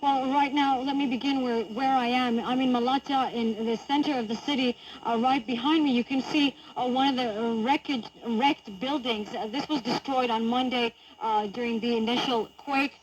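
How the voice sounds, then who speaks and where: A woman's voice: on the high side, steady tone, normal speed, few pauses, slight background noise, low-quality recording. A woman giving a live news report as a field correspondent, outdoors on location. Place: outdoors in a city centre.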